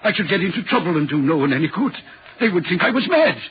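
A man's voice: sits high, sing-song, quick pace, a little hiss or hum. An actor playing a haunted, anguished railway signalman in a brooding, dramatic 1940s radio horror play style. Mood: anguished, desperate. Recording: muffled 1940s radio recording.

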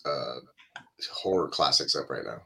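A man's voice: very deep voice, lively intonation, measured pace, gravelly, bright, forceful tone, breathy edge. A man co-hosting a podcast in casual banter with the others. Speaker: podcast co-host.

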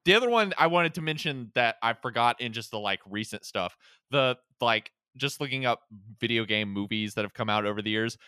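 Bright, clear-voiced man in casual discussion. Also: mid-range voice, expressive, brisk, few pauses, no echo, studio quality. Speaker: podcast co-host or guest.

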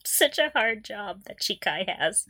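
A woman's voice: medium pitch, sing-song, takes it slowly, bright, forceful tone, dry recording, studio quality. A woman co-hosting a podcast in a casual fan chat, often laughing through her sentences. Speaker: podcast co-host.

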